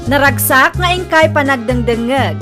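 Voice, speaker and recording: A woman's voice: high-pitched, moderate pace. A woman with an Ilocano accent announcing a radio drama program in a theatrical, scripted style. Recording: loud music bed behind voice.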